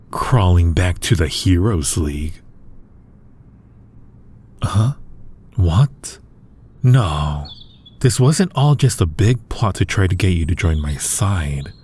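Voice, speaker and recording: Man acting a husky, deep-voiced supervillain. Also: animated, slow, long pauses, a little mellow, exaggerated voice. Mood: persuasive, teasing. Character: male supervillain, smug yet protective toward his hero nemesis. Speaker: audio roleplay voice actor. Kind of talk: intimate ASMR-style audio roleplay acting.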